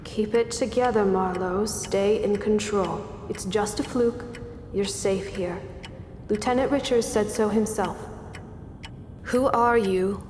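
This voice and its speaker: A woman's voice: medium pitch, takes it slowly, darkish tone, slight background noise, natural voice. An actress playing a wary, defiant young female citizen in a radio drama, guarded and tense. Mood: uneasy. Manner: tense self-talk, guarded. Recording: roomy reverberant sound with faint music.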